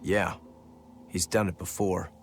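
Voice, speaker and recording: A man's voice: deep voice, level tone, unhurried, slight background noise. A man voicing a plainspoken, brooding demon swordsman in dubbed video game dialogue. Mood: frustrated, blunt. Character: male swordsman turned demon, brooding, self-critical eldest-rival brother.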